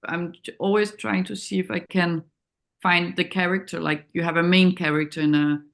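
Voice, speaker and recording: A woman's voice: on the low side, fairly even intonation, moderate pace, creaky. A woman from Denmark with a Danish accent, speaking as a film director in an interview, reflective and searching for words. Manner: hesitant, thoughtful, searching for words. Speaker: documentary director, interview guest.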